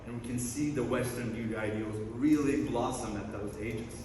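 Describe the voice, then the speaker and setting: A man's voice: medium pitch, fairly even intonation, normal speed, background noise. A young man from Iran gives a conference presentation, upbeat with playful asides between slide points. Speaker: student researcher presenting. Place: large lecture hall. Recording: echoey hall, mic at a distance.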